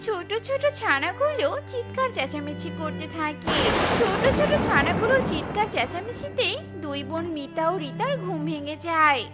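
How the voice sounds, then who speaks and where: A woman's voice: very high voice, sing-song, normal speed, hardly any pauses. A woman storyteller reading scripted narration for a children's cartoon. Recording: music behind the voice.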